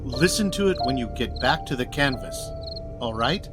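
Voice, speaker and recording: A man acting as a calm, reassuring older mentor in an audio drama, gentle and steady. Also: mid-range voice, moderate pace, close to an everyday voice. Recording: loud music behind the voice.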